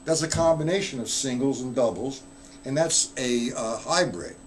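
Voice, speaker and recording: A man's voice: mid-range voice, normal speed, slight background noise. A man giving a casual live-streamed drum lesson, explaining rudiments in a loose, chatty way and answering viewers in the chat. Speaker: drum teacher.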